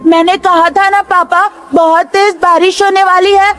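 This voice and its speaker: A woman voicing an innocent, excitable young daughter in a cartoon moral story, speaking in an earnest childlike way. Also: extremely high pitch, measured pace. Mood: worried, earnest. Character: innocent excitable little daughter of a poor family. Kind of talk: Hindi animated moral story dialogue. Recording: music behind the voice.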